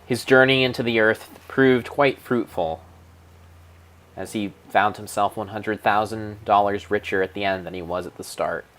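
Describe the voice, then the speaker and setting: A man's voice: fairly low pitch, steady tone, moderate pace, a bit bright. A man narrating a video game like a dramatic storybook, solemn and theatrical, drawing out words for mock-epic effect. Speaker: streamer narrating own gameplay.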